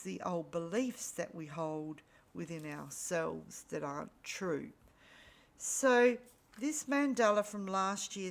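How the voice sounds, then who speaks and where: A woman with a slightly raspy voice, still recovering from losing it, giving an unhurried spiritual energy reading with frequent pauses and ums. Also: lowish voice. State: recovering from lost voice. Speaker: spiritual reader.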